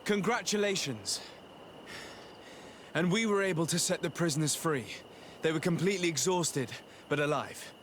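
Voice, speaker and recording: A man playing a composed noble diplomat and tactician in a video game, speaking formally and in a measured way. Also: fairly high pitch, fairly bright tone, close to an everyday voice. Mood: courteous. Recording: outdoor ambient noise behind voice.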